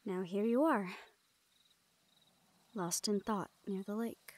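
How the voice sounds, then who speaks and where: A woman performs a young female thief of the shadow folk in a fantasy audio drama. Her medium-pitched voice is soft and tender, often easing into a breathy murmur. She sounds teasing and sure of herself, yet protective of the one she speaks to. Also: slow pace. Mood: playful, confident. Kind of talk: intimate fantasy audio roleplay acting.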